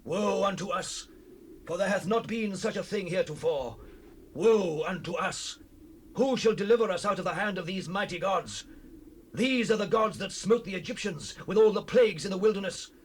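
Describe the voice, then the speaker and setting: Casting: male actor as alarmed Philistine fighter, high-pitched, biblical drama, slightly noisy tape. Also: moderate pace, close to an everyday voice. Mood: dread. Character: alarmed Philistine warrior rallying his people. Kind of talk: dramatized Bible audio recording. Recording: noisy raw cassette rip.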